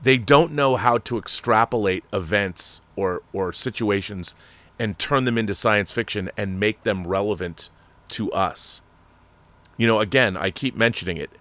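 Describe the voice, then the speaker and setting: A man's voice: on the low side, unhurried, fairly bright tone. A man hosting his channel and reading viewer letters, ranting with exasperation and repeating words as he talks off the cuff. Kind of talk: unscripted commentary.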